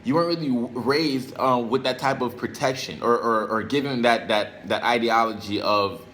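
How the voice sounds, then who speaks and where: A man's voice: medium pitch, normal speed, fairly bright tone, a little hiss or hum. An American man giving a casual motivational monologue to camera in streetwise slang, repeating phrases for emphasis. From Brooklyn and Florida, United States. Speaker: self-help video creator.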